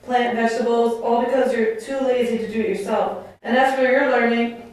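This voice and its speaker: A woman acts out a defiant enslaved island savage for a puppet show, in a fairly high voice. The delivery is declamatory and taunting, full of bitterness. It is heard at a distance in a very reverberant room. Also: fairly even intonation, fairly quick, a little mellow, natural voice. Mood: indignant. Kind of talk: theatrical puppet show dialogue. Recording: very echoey room, distant mic.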